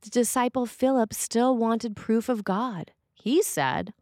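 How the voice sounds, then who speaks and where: A woman reading a scripted sermon as a preacher, with measured, deliberate phrasing. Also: on the high side, a little mellow, no echo, studio quality.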